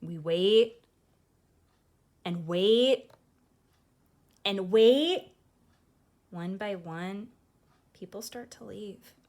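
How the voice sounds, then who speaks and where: A woman reads a children's story at an unhurried pace in a mid-range voice. She is expressive and conversational, stepping out of the text now and then to explain things to young listeners like a teacher. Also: mid-range voice. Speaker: teacher reading a picture book aloud to children. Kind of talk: expressive children's read-aloud with casual explanatory asides.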